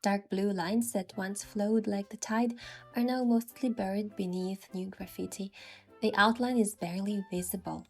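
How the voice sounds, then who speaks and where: A woman narrates a story in the first person from a script. Her voice sits at an average female pitch, with faint music underneath. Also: fairly even intonation, moderate pace, slightly rough. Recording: music behind the voice.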